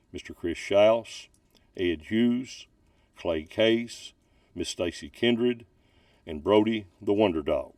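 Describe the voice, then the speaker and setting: A man reading a ghost story aloud from a book as a storyteller, with deliberate, dramatic emphasis. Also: lowish voice, darkish tone, dry recording, studio quality, recorded from a distance. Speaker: narrator reading ghost stories.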